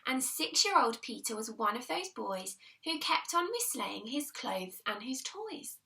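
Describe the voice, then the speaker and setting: A woman reading a children's picture book aloud in an expressive, deliberate storytelling manner, leaning on the rhymes. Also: on the high side, vocal fry, recorded from a distance. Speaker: primary school teacher reading aloud.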